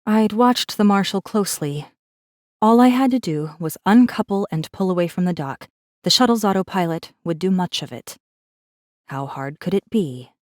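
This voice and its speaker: A woman's voice: lowish voice, animated, moderate pace, darkish tone, no room sound, studio quality, lightly acted. A woman voicing a wry, guarded rebel messenger who narrates a space fantasy audiobook in a controlled, intimate way. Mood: wry, determined. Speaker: audiobook narrator in first person. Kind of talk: scripted dramatic narration.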